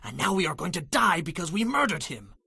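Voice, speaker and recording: A man's voice: sits high, very animated, fairly quick, gravelly, bright, forceful tone, breathy edge, no room sound, studio quality, heavily acted. A man playing an exasperated, earnest eldest brother in a dramatized audio Bible. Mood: exasperated, reproachful. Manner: insistent, earnest.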